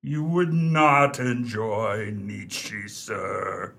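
A man's voice: lowish voice, steady tone, very slow, gravelly, fairly bright tone, heavily acted. A man acting in a radio play as an unflappable, deferential English valet who speaks formally and with discreet opinions. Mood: frank, measured.

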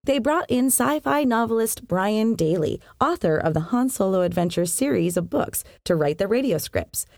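Expressive woman hosting a podcast. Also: medium pitch, normal speed, gravelly, no room sound, clean studio sound. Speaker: podcast host. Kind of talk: scripted narration of science fiction history.

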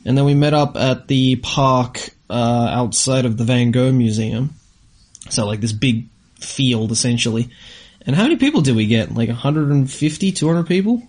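A man with a mid-range voice chats as a podcast co-host. His delivery is informal and rambling, full of slang, like relaxed talk between travel companions. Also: mid-range voice, normal speed, slightly rough. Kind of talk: casual chat about travel.

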